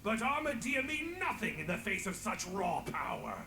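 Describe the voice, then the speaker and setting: An actor playing a panicky, defeatist council leader in a fantasy game, agitated and theatrical. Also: male voice, sits high, very animated, moderate pace, creaky, pushed and bright, echoey room, slight background noise, full character voice. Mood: panicked, indignant. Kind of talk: dramatic fantasy game voice acting.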